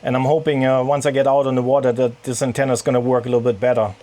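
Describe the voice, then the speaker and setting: A man from Germany with a German accent, talking over ham radio from his sailboat in halting speech full of fillers. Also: mid-range voice, flat delivery, noisy background. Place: sailboat cabin in a marina.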